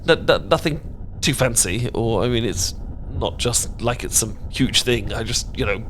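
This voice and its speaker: A man voicing a bookish British man who trips over his own words, repeating himself and rambling whenever he gets nervous. His voice sits at an ordinary pitch for a man, in an intimate audio romance with a faint hiss behind it. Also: moderate pace, fairly bright tone, lightly acted. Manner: stammering, rambling, flustered. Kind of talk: ASMR romance roleplay.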